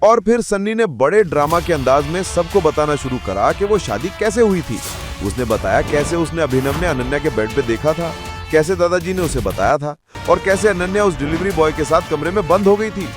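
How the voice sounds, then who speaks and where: A man's voice: fairly high pitch, animated, moderate pace, over music. A man narrating a scripted audio drama in a dramatic storytelling style.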